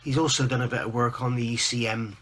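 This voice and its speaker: Give this to a man with a husky, croaky voice that still has a bright, forceful edge. He is hosting a hobby update, talking casually and informally as he rambles through what he has been working on. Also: medium pitch, fairly even intonation, quick pace. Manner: chatty, informal, rambling show-and-tell. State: croaky, recovering from losing his voice. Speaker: host of a scale modelling channel.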